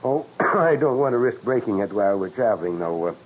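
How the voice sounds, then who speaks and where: An actor playing a skeptical, mild-mannered doctor and indulgent husband in a 1940s radio mystery, wry and a little hesitant. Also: male voice, fairly low pitch, a little fast. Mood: wry, resigned. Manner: wry, hesitant, lively intonation. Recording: dull old 1940s radio recording.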